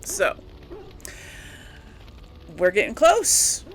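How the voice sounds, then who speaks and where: A woman with a medium-pitched voice hosts a video game playthrough. She speaks to her viewers informally, in a chatty, rambling manner, as casual commentary. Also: very animated, very slow, slightly rough, soft background music, poor audio. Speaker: host of a video game let's play channel.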